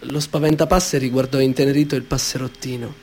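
A man reading his own children's story aloud in a gentle, measured and expressive way. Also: mid-range voice. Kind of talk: storybook narration.

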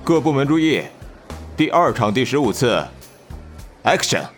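A man's voice: medium pitch, big pitch swings, unhurried, over music. A man playing a bossy, exasperated film director in an audio drama, brusque and commanding. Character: bossy, exasperated male film director barking orders on set.

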